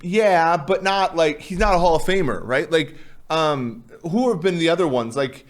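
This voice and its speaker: A man with a loud voice co-hosting a relaxed sports talk show. He rambles through his points in a casual chat, starting over and circling back often. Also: fairly high pitch, moderate pace. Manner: rambling, lots of restarts and "like". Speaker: co-host.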